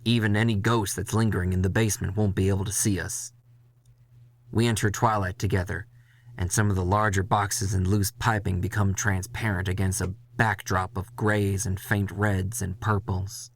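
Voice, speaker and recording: A man reading a dramatic first-person horror story in a measured, intense way, with music behind him. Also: low-pitched, flat delivery, vocal fry.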